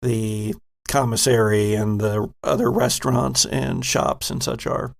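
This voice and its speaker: Man, game master, slow pace, gravelly fry, deliberate scene-setting. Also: mid-range voice, steady tone. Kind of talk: narrating a tabletop game.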